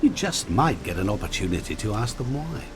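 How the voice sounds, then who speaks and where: An actor playing a sly, genteel and mysterious tempter in a video game, with a teasing, condescending, sing-song delivery. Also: male voice, lowish voice, sing-song, fairly quick, background noise.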